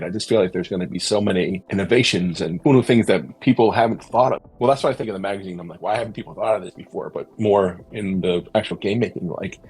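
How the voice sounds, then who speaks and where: A man's voice: deep voice, darkish tone, breathy edge, rough recording. A man hosting a podcast, talking in an earnest, thoughtful way and searching for his words in a casual discussion. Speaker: podcast host.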